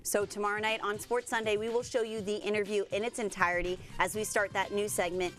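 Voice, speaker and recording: A woman's voice: mid-range voice, little pitch movement, quick pace, a bit bright, soft background music. A woman reading scripted sports news as co-anchor of a television show in a studio.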